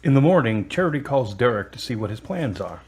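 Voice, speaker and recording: A man's voice: mid-range voice, big pitch swings, brisk, a little mellow. A man reading scripted narration as the narrator of an audio drama. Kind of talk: scripted story narration in present tense.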